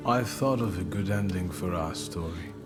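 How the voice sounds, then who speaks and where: An actor playing a brooding, resolute gentleman mystic in a dramatic video game, speaking tersely, gravely and deliberately. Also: low-pitched, close to an everyday voice. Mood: pensive, resolved. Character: brooding, resolute young gentleman thaumaturge in 1900s Warsaw. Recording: loud music under the voice.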